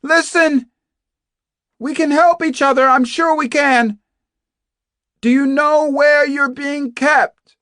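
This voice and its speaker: A woman voicing a defiant captive young woman doctor in an audiobook, shouting insistently and pleading in a strong, strained voice. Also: high voice, steady tone, takes it slowly, long pauses, no room sound, clean studio sound, pitched above the performer's own voice. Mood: urgent pleading. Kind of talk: dramatized audiobook dialogue.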